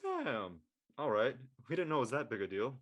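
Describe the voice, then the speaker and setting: A woman's voice: a bass voice, wildly swooping pitch, normal speed, lo-fi sound, full character voice. A woman playing a cocky, teasing young college bro in an audio drama, brash and slangy. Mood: gruff. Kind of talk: acted audio drama dialogue.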